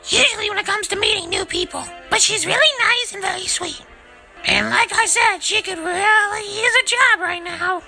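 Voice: extremely high pitch, normal speed, creaky, over music. A performer voicing a sweet young princess with a hesitant, dramatic manner in an amateur game parody. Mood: gentle, hesitant.

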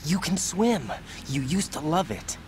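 An actor playing an earnest young soldier in a video game, speaking in an urgent yet reassuring manner. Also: male voice, on the high side, expressive, unhurried, background noise. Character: earnest young male soldier on a rescue mission. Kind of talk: dramatic game cutscene acting.

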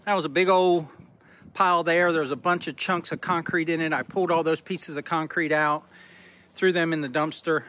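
A man's voice: on the high side, a bit bright. A middle-aged man on a rural homestead, talking plainly and thinking aloud as he films a walk-around on his phone. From Northwest Florida. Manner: folksy, plainspoken, thinking aloud with small restarts. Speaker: homestead vlogger. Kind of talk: casual walk-around narration. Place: outdoors on a rural homestead by a pole barn.

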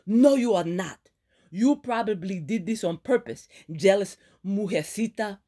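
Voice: medium pitch, measured pace, voice pushed up, close to an everyday voice. A reader voicing a fiery woman doctor, scolding her friend in a raised, sarcastic voice. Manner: exasperated, sarcastic, lively scolding.

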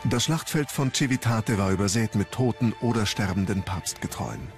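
Male documentary narrator, lowish register, scripted storytelling delivery, music underneath. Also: lively intonation, moderate pace. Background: background music.

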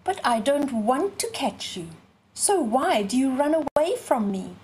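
A sly storybook fox, played by a woman reading aloud, coaxing and persuasive. The words come slowly, with faint noise in the background. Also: high voice, sing-song, darkish tone, voice pushed up, low-quality recording.

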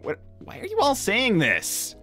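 A woman's voice: on the high side, huge pitch swings, unhurried, a bit bright, soft background music, exaggerated voice. A woman voicing a laid-back young male cartoon hedgehog hero, chatting in a casual, hesitant, rambling way on an in-character livestream. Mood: puzzled, uneasy. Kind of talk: improvised in-character livestream chat.

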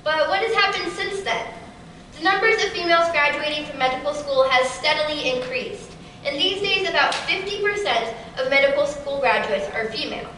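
A teenage girl giving a prepared keynote talk in a large auditorium, with a steady, rehearsed delivery. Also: high-pitched, normal speed, slight background noise. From Kansas City area. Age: teens. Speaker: keynote speaker. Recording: echoey hall from far off.

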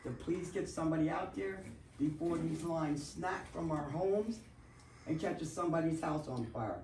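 A woman's voice: deep voice, moderate pace, darkish tone, a little hiss or hum. Insistent woman in an echoey meeting hall. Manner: detailed and insistent. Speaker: resident speaking at public comment. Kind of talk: formal public complaint. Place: township council meeting room. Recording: echoey meeting room, far mic.

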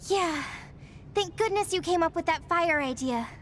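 Very high-pitched earnest young soldier girl. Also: normal speed, a bit bright, slight background noise. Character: earnest young woman soldier, determined but self-doubting. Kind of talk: anime game voice acting.

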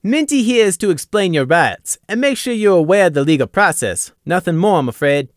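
Stern raspy male guard with fangs, commanding and lively in tone, as a male narrator puts him on. The voice is dry and close. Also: high voice, fairly quick, no room sound, pitched above the performer's own voice.

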